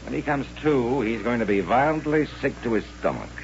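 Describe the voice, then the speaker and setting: An actor playing a dry, protective frontier doctor in an old-time western radio drama. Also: male voice, mid-range voice, lively intonation, brisk, creaky. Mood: dry, wry. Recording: dull, faintly noisy old radio recording.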